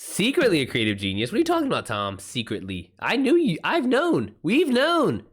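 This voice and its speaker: A man's voice: high voice, huge pitch swings, fairly quick, slightly rough. A man hosting a livestream in a casual, informal style, reacting to chat comments with exclamations and swearing. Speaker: streamer, author hosting a live chat.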